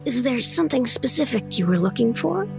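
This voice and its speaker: A woman's voice: fairly high pitch, big pitch swings, fairly quick, creaky, darkish tone, soft background music, heavily acted. A woman playing a polite, helpful records librarian in an audio drama, with a courteous manner.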